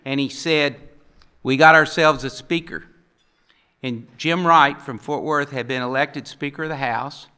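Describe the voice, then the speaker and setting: A man's voice: on the high side, steady tone, unhurried, sharp tone, clean tone. An older man on a conference panel, telling folksy anecdotes and acting out the people he quotes, at a table mic in a hotel conference room. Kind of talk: storytelling on a panel.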